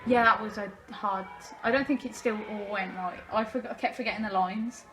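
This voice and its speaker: A woman's voice: medium pitch, steady tone, a little fast, fairly bright tone, a little hiss or hum, poor audio. A woman bantering casually in a group interview.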